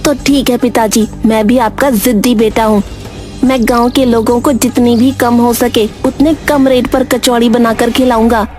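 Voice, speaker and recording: Woman voicing an earnest, high-voiced young village son. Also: steady tone, moderate pace, a little mellow, soft background music, natural voice. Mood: earnest, determined. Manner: earnest, polite. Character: stubborn, principled young village son, food seller. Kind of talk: Hindi moral-story cartoon acting.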